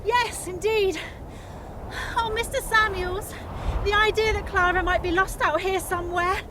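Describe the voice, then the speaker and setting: Genteel young lady, very high voice, anxious, determined, formally polite, period audio drama with clear outdoor noise behind. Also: steady tone, unhurried.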